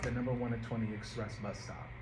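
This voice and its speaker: A man talks an actor through rehearsal notes in a loose, conversational way. He restarts his sentences as he looks for the right words. The room sounds echoey, with steady noise behind him. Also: mid-range voice, fast. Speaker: director. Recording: roomy and noisy rehearsal room.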